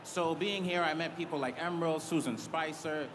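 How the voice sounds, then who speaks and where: A man's voice: a little high, steady tone, moderate pace. A man chef from Massachusetts telling his story on a panel, earnest with hesitant fillers. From Cambridge, Massachusetts. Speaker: head chef and restaurant owner. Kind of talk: panel talk. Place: large convention hall. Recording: reverberant hall, clear noise.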